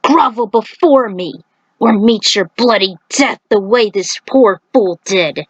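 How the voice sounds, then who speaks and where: A woman's voice: medium pitch, takes it slowly, no echo, muffled recording. A woman voicing a haughty male centaur warrior in a fantasy story, pompous and threatening. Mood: menacing.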